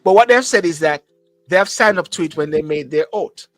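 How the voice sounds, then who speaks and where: A man's voice: a little high, expressive, fairly quick, a bit bright, no echo, clean studio sound. A Jamaican man hosting a live call-in talk show, who speaks emphatically and repeats phrases for stress. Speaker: host.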